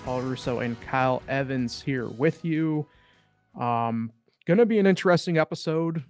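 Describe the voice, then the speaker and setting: Smooth-voiced young man talking sports. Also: mid-range voice, normal speed, faint music behind. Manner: rambling, lots of fillers. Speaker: podcast co-host. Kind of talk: casual sports chat.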